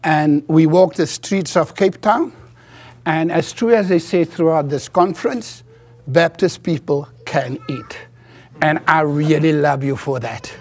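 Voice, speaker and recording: A South African man whose first language is Afrikaans, preaching from a church pulpit as a guest preacher, earnest, with halting restarts. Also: a little high, darkish tone. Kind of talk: sermon.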